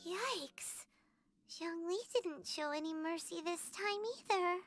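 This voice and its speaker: A woman voicing a tiny, curious, candid childlike companion in an anime-style video game, with a squeaky, plain-spoken delivery. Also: slow pace, a bit bright, soft background music, full character voice. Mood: taken aback.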